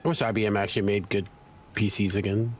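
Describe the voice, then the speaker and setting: A man's voice: fairly low pitch, flat delivery, quick pace, slightly rough. A man chatting casually with a streamer, teasing him and giving tips about the game. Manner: teasing, offers gameplay tips. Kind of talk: casual chat.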